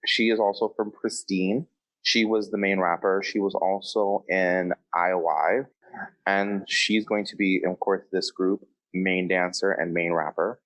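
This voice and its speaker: A man's voice: sits low, level tone, unhurried, a bit bright, poor audio. A man co-hosting a podcast in a casual, conversational style. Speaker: podcast co-host.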